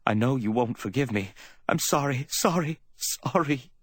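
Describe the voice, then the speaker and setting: A man's voice: mid-range voice, moderate pace, no echo, voice pushed up, natural voice. A man acts out a distraught woman in a hardboiled audiobook story. The voice is lifted well above his own, choked and whimpering. She pleads and wails with jealous indignation, recorded close and dry. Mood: pleading, tearful. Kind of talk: dramatic audiobook character reading.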